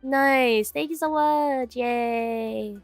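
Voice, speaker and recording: A woman's voice: sits high, very slow, no echo. Chatty congested young woman streamer. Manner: chatty, drifts between topics, frequent fillers. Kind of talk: casual chat with viewers. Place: home. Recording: dry close mic, faint music behind.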